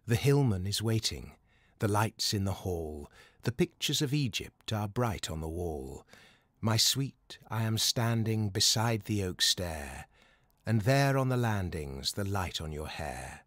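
A British man reads verse aloud in a lowish voice, taking his time over each phrase. His delivery is measured and expressive, and the sound is dry and close, without room echo. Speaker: poetry reader. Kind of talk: poetry recitation.

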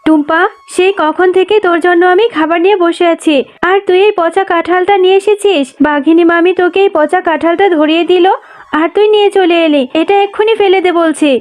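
A woman voicing a loving, worried mother fox in a cartoon, tender and gently scolding. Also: very high-pitched, fairly quick. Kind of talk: cartoon voice acting. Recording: music under the voice.

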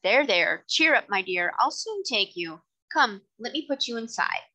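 A woman's voice: on the high side, big pitch swings, unhurried, bright, forceful tone, voice pushed up, exaggerated voice. Woman voicing a kindly, firm London cabman. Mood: warm, reassuring. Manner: plain-spoken, respectful working-class address. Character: kindly, firm male London cab driver, family man.

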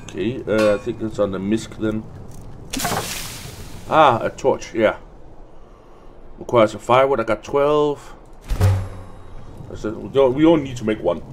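Male let's play host, unhurried and muttering, casual commentary, light background game noise. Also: on the low side. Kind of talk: casual gameplay commentary, thinking aloud.

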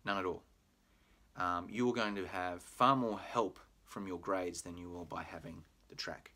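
A man's voice: sits low, steady tone, normal speed, bright, forceful tone. A middle-aged man with an Australian accent, a university program manager giving a recorded admissions talk in a friendly, explanatory way with frequent fillers, speaking to a webcam in a room at home. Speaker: pre-law program manager. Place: home room with bookshelf, indoors.

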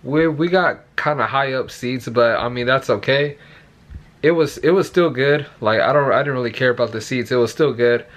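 A young man in his twenties, hoarse after yelling at a concert, talking excitedly and rambling in a casual vlog, filmed on a phone held at arm's length. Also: mid-range voice, moderate pace. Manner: rambling, excited, repeats himself. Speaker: vlogger. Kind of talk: casual vlog talk to camera. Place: bedroom at home, then walking on a suburban street outdoors.

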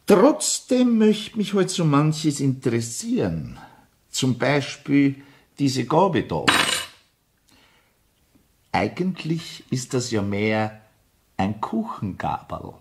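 A persistent Viennese commissioner conducts an interrogation in a radio crime play. His middling voice moves widely in pitch, sly and pressing. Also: sing-song, slow, vocal fry, pauses often, a little hiss or hum, full character voice. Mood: coaxing, sly. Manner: sly, coaxing yet pressing.

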